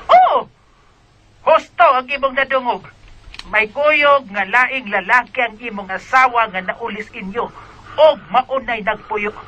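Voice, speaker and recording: An actress playing a scornful, sharp-tongued woman in a Bisaya radio drama, scolding with emphatic delivery and a Filipino accent. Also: a little high, unhurried, poor audio. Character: scornful, sharp-tongued woman breaking bad news to a man.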